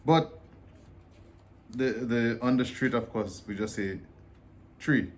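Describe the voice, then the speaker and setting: A man from Dominica with a Dominican accent, explaining in a relaxed, joking way as co-host of a casual vlog. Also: medium pitch, takes it slowly, slight background noise.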